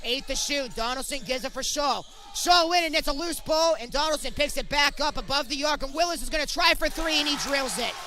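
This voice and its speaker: A man's voice: extremely high pitch, pushed and bright. A man working as a sportscaster, delivering play-by-play and scripted reporting.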